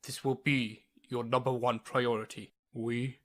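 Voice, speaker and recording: A man's voice: mid-range voice, flat delivery, fairly bright tone, lightly acted. An actor playing a commanding middle-aged king and father, speaking formally in an ASMR roleplay. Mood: regal. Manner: formal, regal, unhurried.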